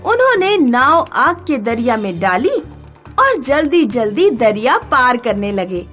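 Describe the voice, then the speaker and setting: High, animated woman storyteller over music. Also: measured pace. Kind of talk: scripted children's story narration with voiced dialogue.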